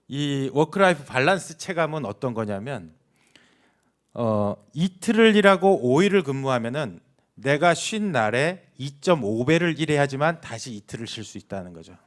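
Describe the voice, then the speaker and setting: A man giving an explanatory public presentation as a company executive, talking conversationally with frequent pauses. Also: mid-range voice.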